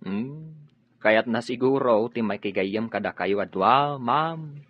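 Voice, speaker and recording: A man's voice: medium pitch, lively intonation, slow. A man playing a deferential, gossipy employee talking with his lady boss in a radio drama. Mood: helpful, speculating. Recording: dull lo-fi radio sound.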